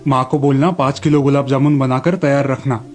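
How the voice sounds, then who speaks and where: A man's voice: medium pitch, steady tone, fairly quick, natural voice. Genial village dad running a sweet shop, guy voicing him, indulgent, kids cartoon, music behind. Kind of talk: Hindi children's moral story cartoon.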